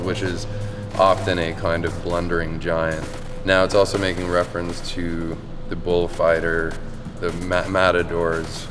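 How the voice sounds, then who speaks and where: Male artist, low and even, reflective, reverberant hall, music underneath. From Finland and Canada. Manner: measured, thoughtful, with pauses and restarts. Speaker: painter. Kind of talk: reflective interview monologue. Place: large art gallery. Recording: long reverb with music behind.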